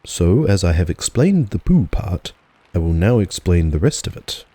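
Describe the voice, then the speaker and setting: A man narrating a children's storybook as a relaxed audiobook reading. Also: low voice, moderate pace, dry recording.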